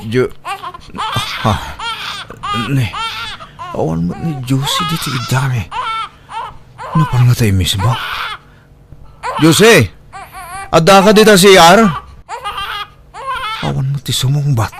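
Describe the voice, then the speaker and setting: A man's voice: medium pitch, big pitch swings, takes it slowly, creaky, pauses often, exaggerated voice. Man acting a distressed young Filipino single father. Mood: anxious, tearful. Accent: Ilocano Filipino. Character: distressed young single father, humble, abandoned by his partner. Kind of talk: Filipino audio drama acting.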